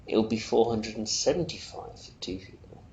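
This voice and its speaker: Man voicing a fussily polite, creaky salesman. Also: lowish voice, animated, brisk, a little mellow, lo-fi sound, voice pushed up. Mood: apologetic. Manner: polite, formal, fussily apologetic. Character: obliging, deferential salesman of discreet killings. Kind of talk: storybook character voice. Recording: roomy echo, faint noise.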